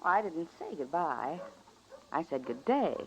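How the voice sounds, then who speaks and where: A woman's voice: deep voice, sing-song, a little slow, heavily acted. An actress playing a defiant, taunting frontier woman, insolent and teasing in a 1950s western radio drama. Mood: cool defiance. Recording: dull, noisy vintage radio recording.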